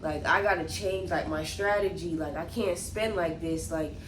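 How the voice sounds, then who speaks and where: A woman's voice: fairly low pitch, reverberant, slight background noise, rough recording. A young woman with a sniffly cold chatting casually as she answers viewer questions, with lots of likes and ums. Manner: relaxed, unhurried, many likes and ums, reads questions aloud. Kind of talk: casual Q&A chat.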